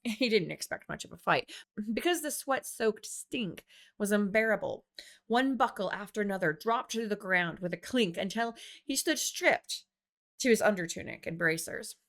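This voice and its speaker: A woman's voice: medium pitch, animated, moderate pace, fairly bright tone. A woman reading a scripted fantasy story aloud as its author and narrator. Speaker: author reading own audiobook.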